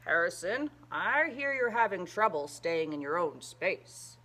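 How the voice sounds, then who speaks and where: A woman voicing a patient, instructive father in a children's storybook, explaining in a measured way with drawn-out emphasis. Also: fairly low pitch, sing-song, pushed and bright, exaggerated voice.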